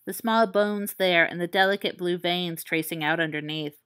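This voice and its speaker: A woman reading fan fiction aloud as an audiobook, in a calm, measured, soft manner. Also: on the low side, clear, resonant tone. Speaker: podfic reader.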